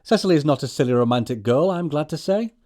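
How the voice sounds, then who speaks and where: An actor playing an earnest, easily flustered young upper-class English gentleman with a proper, fussy manner, in a stage comedy audio production. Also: fairly high pitch, lively intonation, quick pace, lightly acted. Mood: fussy, decided.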